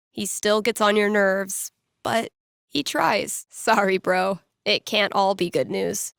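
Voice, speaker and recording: A woman's voice: mid-range voice, fairly bright tone, dry recording, studio quality. A woman playing a wry young radio DJ and playful fortune-teller in a video game, speaking in a casual, teasing storyteller's way. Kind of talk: video game voice acting, conversational second-person storytelling.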